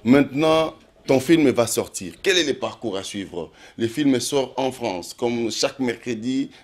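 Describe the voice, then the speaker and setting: A man's voice: medium pitch, animated, a little slow. A man hosting a TV interview show, asking long questions full of hesitations and switching into Lingala now and then. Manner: lots of "euh" hesitations, mixes in Lingala. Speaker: TV show host.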